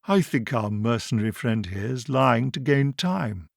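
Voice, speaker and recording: A man voicing a tall, solemn doctor, a probing sci-fi audiobook hero who speaks with deliberate, accusing emphasis. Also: mid-range voice, animated, vocal fry, no room sound, studio quality. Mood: musing.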